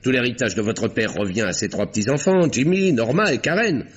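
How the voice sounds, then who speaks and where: An actor playing a calm doctor-detective who exposes a murderer in an old radio drama, speaking in a measured, pointed way with dramatic pauses. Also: male voice, medium pitch, natural voice. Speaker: Doctor Westlake. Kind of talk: 1950s radio drama acting. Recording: dull old radio recording.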